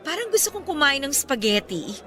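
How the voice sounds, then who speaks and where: Radio drama girlfriend in her thirties: sharp bright tone, Filipino accent, fond and coy. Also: high-pitched, big pitch swings, measured pace, exaggerated voice. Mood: playful, fond. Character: devoted, sweet girlfriend in her thirties, newly graduated. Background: background music.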